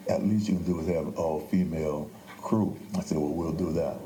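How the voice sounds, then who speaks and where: A man's voice: a bass voice, fairly even intonation, brisk, crowd noise. An older man, a playwright, telling stories in an interview conversation. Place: indoors at a theater. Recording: echoey room, faint background noise.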